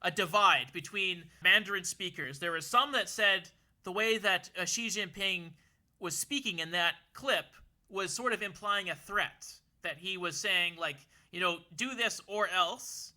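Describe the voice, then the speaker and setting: A young man holds forth in an unusually high, sharply bright voice. He talks earnestly, stumbling over repeated words as he builds his point, and his voice sounds a touch worn. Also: unhurried. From Canada. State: losing his voice. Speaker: podcast co-host. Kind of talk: casual political discussion.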